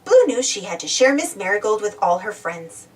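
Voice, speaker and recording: A woman narrating a children's story aloud in an animated read-aloud style. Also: mid-range voice, normal speed, recorded from a distance. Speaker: storybook reader.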